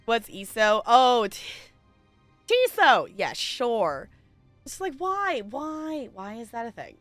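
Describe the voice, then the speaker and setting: A woman with a lively, swooping delivery and a fairly high voice chats casually to her stream viewers. She rambles along in a giggly, conversational way, with music playing behind her. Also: takes it slowly, no echo. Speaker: live game streamer.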